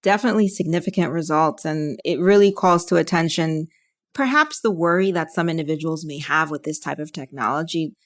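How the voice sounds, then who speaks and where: Low-pitched female podcast interviewer. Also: fairly quick. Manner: affirming, recaps before asking. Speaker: podcast host, physician interviewer.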